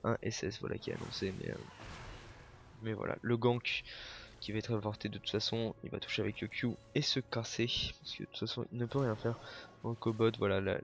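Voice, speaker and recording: A man's voice: on the low side, a little hiss or hum. A young man with a cold, commentating a video game match alone, hesitant, with many fillers and self-corrections. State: has a cold, coughing. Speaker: esports caster.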